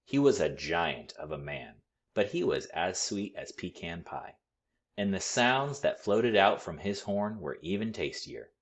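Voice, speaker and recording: A man reading a children's story aloud with expressive, deliberate, dramatic phrasing. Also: deep voice. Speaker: storybook read-aloud reader.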